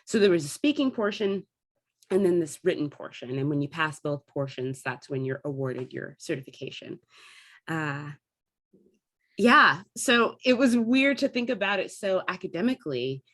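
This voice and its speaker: A woman's voice: fairly low pitch, animated, normal speed, fairly bright tone. A woman chatting casually as an interview guest, explaining things in a talkative way and thinking aloud as she goes. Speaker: guest, theatre artist and classical actor.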